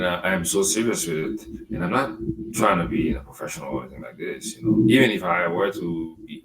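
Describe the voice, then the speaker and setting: A man's voice: low-pitched, vocal fry, rough recording. A man co-hosting a podcast, chatting casually in a rambling, profane, laid-back way. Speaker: podcast co-host. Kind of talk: casual chat.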